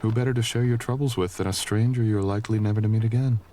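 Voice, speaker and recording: Male actor as mysterious fantasy wanderer, very low voice, soft-spoken, courteous and teasing, romantic ASMR roleplay, light ambient noise behind. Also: fairly even intonation, close to an everyday voice. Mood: teasing, friendly. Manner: soft-spoken, slow, courteous old-fashioned phrasing, chuckling.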